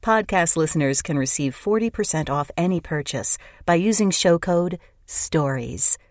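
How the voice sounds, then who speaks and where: A woman's voice: on the low side, lively intonation, normal speed, a little mellow, dry recording, studio quality. A woman reading a scripted sponsor message for a podcast. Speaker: sponsor read announcer.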